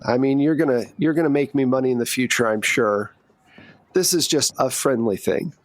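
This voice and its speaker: A man's voice: medium pitch, moderate pace, no echo, natural voice. A man voicing a friendly, aging male shopkeeper in a tabletop game, casual and a little hesitant. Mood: grateful, friendly. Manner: casual, hesitant, plain-spoken.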